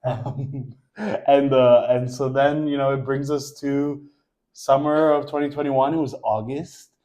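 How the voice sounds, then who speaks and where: New Yorker co-host, relaxed storyteller, dark warm tone. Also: male voice, mid-range voice, unhurried. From Queens, New York. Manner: easygoing storyteller, guides the conversation. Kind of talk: casual couple chat.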